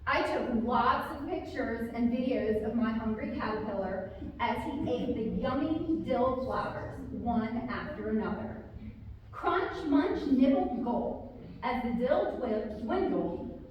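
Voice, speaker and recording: A woman author speaking and reading a children's story aloud to a group, with expressive, drawn-out emphasis on key words. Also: fairly high pitch, muffled recording. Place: large reverberant room, live community gathering. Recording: very echoey hall, distant, faint noise.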